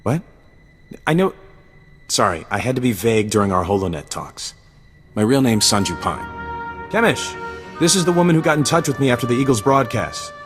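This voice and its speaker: A man's voice: mid-range voice, very animated, brisk, pauses often, a bit bright, soft background music. An actor playing an eager, nervous, idealistic young man working as a mole, chatty and keen to please in a game cutscene. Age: young adult. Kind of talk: video game cutscene acting. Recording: reverberant hall sound.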